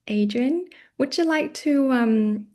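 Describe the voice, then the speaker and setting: A woman's voice: high-pitched, takes it slowly, darkish tone. A woman hosting an informal, friendly interview, putting questions to her guest. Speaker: interview host.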